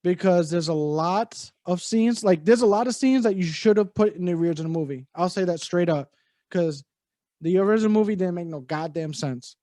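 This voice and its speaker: A man's voice: high-pitched, moderate pace, warm tone, no echo, studio quality. A man cohosting a casual movie review podcast, talking bluntly with plenty of swearing and restarts. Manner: blunt, profane, rambling with restarts.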